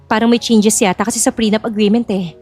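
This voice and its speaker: A woman playing a young bride-to-be in an audio drama, polite and composed, with a quiet cunning. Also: a little high, steady tone, quick pace, soft background music, lightly acted. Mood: polite, sly.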